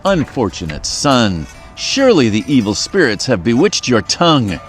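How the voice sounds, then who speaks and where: A man's voice: mid-range voice, wildly swooping pitch, moderate pace, faint music behind. Man reading as a stern, impatient mother.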